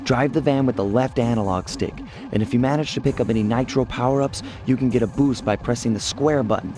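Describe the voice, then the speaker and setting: A man plays a cocky young raccoon thief from a cartoon game. He has an American accent and a cool, wry, nonchalant delivery at a middling pitch. Loud music plays behind him. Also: fairly quick, close to an everyday voice. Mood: upbeat. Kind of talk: video game cartoon voice acting and narration.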